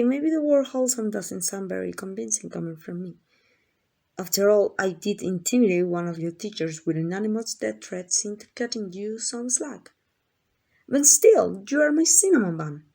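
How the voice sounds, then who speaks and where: A woman's voice: mid-range voice, vocal fry, darkish tone. A woman playing a lovesick, possessive female kidnapper in an intimate ASMR roleplay, doting and rambling in a flustered, coaxing way. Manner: coaxing, rambling, hesitant asides.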